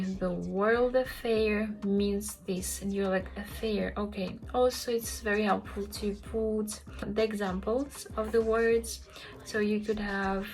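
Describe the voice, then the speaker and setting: A woman's voice: mid-range voice, low-quality recording. Chatty young woman. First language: Russian. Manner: chatty, many fillers, speaks to viewer directly. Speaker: language-learning vlogger. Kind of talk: casual vlog tips to camera. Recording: music playing underneath.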